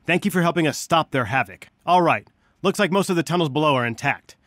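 An actor playing an earnest scientist who leads a resistance, guiding heroes through video game missions in a measured, dryly wry way. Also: male voice, a little high, animated, fairly bright tone, no room sound, clean studio sound. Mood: regretful, grateful.

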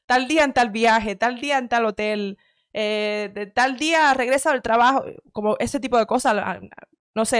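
Woman with a light Cuban accent, rapid opinionated chat, dry close studio mic. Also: medium pitch, no room sound. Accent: light Cuban Spanish. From Cuba. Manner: quick, chatty, opinionated, frequent self-corrections. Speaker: host reacting to clips. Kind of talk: casual commentary. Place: home studio room with shelves. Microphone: headset with studio mic on arm.

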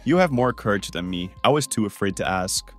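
A man's voice: lowish voice, animated, brisk, faint music behind, lightly acted. A young man voicing a popular teenage boy who is nervous and stammers a lot, juggling two dates. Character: popular teen boy, flustered two-timer. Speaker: voice actor in a fan-made game drama.

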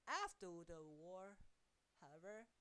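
A woman's voice: on the low side, sing-song, takes it slowly, sharp tone, heavily acted. A woman playing a proud, formal elderly male statesman in a theatrical, declamatory historical monologue. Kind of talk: scripted first-person historical monologue.

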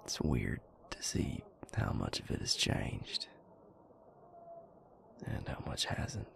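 A man playing a calm, gentle, wry vampire in an intimate audio roleplay, hushed and gravelly, measured and understated. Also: rumbling low, a little hiss or hum, exaggerated voice. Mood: quiet, reassuring.

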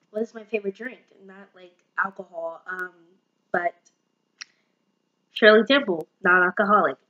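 A woman's voice: medium pitch, dull audio, slight background noise. A nineteen-year-old woman chatting in a casual home vlog, bubbly and chatty and slipping into sung phrases, recorded on a webcam in a small room. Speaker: vlogger.

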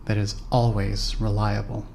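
A man's voice: fairly low pitch, little pitch movement, measured pace, darkish tone, faint music behind. A man reading scripted second-person narration for a fan-made audio drama.

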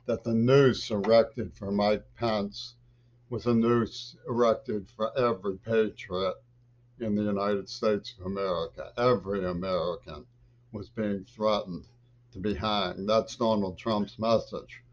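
Older man, webcam monologue from a home office; slow, low, erratic bursts; muffled lo-fi audio. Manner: agitated, lurching between loud bursts and mutters. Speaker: vlogger. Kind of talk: rambling political monologue to camera. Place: small home office with bookshelves, indoors. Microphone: webcam at desk.

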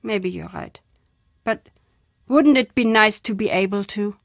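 An actress playing a very old, playful, wistful woman storyteller in a video game, speaking theatrically in unhurried phrases with dramatic pauses. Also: mid-range voice, creaky, no echo, studio quality. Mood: moved, regretful. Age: older. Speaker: game character.

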